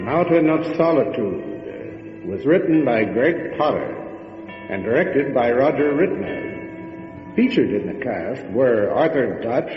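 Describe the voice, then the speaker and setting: An actor playing a ghoulish, sardonic horror host in old-time radio style, droll, theatrical and ominous. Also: male voice, medium pitch, sing-song, slow. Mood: measured, formal. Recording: echoey long reverb, muffled, over music.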